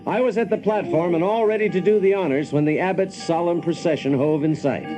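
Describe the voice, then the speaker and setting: A man's voice: high-pitched, a little fast, over music, lightly acted, poor audio. A man playing a brash, wry engineer in a radio drama, telling his story with dry, folksy self-assurance. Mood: wry, self-satisfied. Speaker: protagonist and narrator. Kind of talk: dramatized first-person narration, radio drama.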